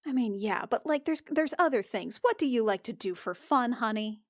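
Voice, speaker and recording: A woman playing a tabletop roleplaying game, improvising dialogue in a casual, halting, informal way. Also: on the high side, expressive, no echo, clean studio sound.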